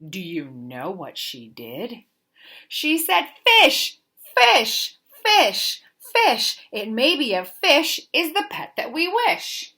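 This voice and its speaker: Bright, very animated woman reading a storybook. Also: fairly high pitch. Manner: expressive, deliberate, sing-song emphasis. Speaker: teacher reading a picture book aloud. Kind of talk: animated children's storybook read-aloud.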